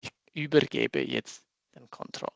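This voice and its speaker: A man who is not a native German speaker, a social engineer walking through a live demo in halting German with grammar slips. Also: dry recording. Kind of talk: live demo in an online talk.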